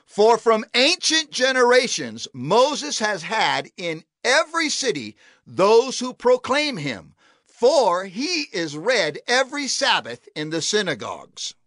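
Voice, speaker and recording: An actor playing an earnest, authoritative church elder in a dramatized scripture reading, with declamatory, measured phrasing. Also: male voice, high voice, sing-song, a bit bright, no room sound, studio quality, exaggerated voice. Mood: solemn.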